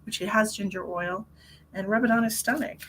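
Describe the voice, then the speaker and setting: A woman hosts a casual livestream from her car, her voice bright and forward. She rambles in a chatty way and answers viewers' comments as they come in, sounding a little stuffy. A faint hiss of noise sits under her voice. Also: medium pitch, brisk, low-quality recording. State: stuffy nose. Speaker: tarot reader hosting a livestream.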